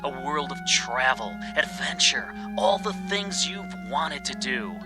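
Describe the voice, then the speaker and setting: An actor playing an enticing, polished commercial announcer within a radio drama. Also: male voice, a little high, animated, a little slow. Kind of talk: advertising read in radio drama. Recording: music bed under the voice.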